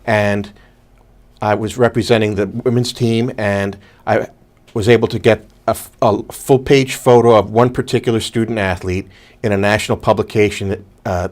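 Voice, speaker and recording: A man in his fifties with a fairly low voice co-hosts a talk show from a TV studio. He works in public relations. He speaks in a measured way and tells his anecdotes steadily, step by step. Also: steady tone. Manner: measured, storytelling. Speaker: public relations professional, co-host. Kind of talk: casual talk-show conversation.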